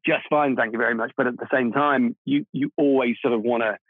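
A man in his forties, born Czech, recalls his path into music with a self-deprecating humor. His voice comes through a narrow, phone-like band with almost no room sound. He sits at a middling pitch for a man. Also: steady tone, a little fast, dry recording. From Czech Republic. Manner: reflective, self-deprecating, laughs easily. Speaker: guest, film composer. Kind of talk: casual interview conversation.

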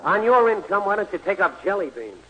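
An actor playing a sardonic, wisecracking barroom regular in a 1940s radio comedy, dry and needling. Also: male voice, high voice, big pitch swings, a little fast, sharp tone, a little hiss or hum, heavily acted, rough recording. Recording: old radio broadcast with audience murmur.

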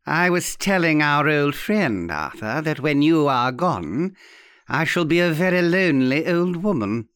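A man's voice: on the high side, sing-song, fairly bright tone, no room sound, studio quality. A man voicing a sly, theatrical old aunt in an audiobook, suave and falsely sweet with a mocking edge. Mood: mock-sentimental, theatrical. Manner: suave, smooth, drawn-out delivery with satirical edge. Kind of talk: dramatic audiobook character reading.

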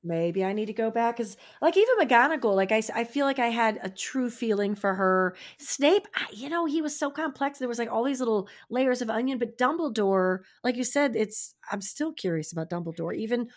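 A woman co-hosting a podcast in casual chat, with a naturally raspy voice that sounds strained and tired, chiming in with short remarks that trail off. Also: on the high side, expressive, a little fast, few pauses. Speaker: podcast co-host.

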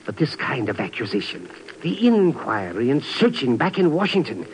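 A man's voice: mid-range voice, very animated, moderate pace, lightly acted, low-quality recording. An actor playing an indignant, self-important Continental professor in 1950s radio drama, pompous and formal in his protests. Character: indignant, self-important male French-speaking scientist, professor. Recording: hissy old radio broadcast.

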